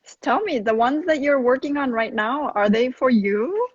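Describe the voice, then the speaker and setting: A woman's voice: sits high, animated, normal speed, breathy edge, no room sound. A woman hosting a casual interview over a video call, encouraging her guest with frequent short affirmations. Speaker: host. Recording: dry, muffled video-call audio.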